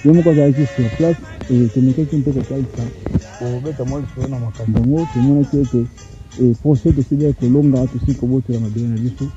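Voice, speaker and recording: A man's voice: medium pitch, quick pace, highs cut off, soft background music. Man reporting outdoors. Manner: animated, switching between Lingala and French. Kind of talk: field report and interview. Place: outdoors on open land.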